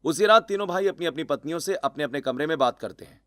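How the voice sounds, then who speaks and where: Higher-pitched man narrating a cartoon story. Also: animated, fast, fairly bright tone. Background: background music.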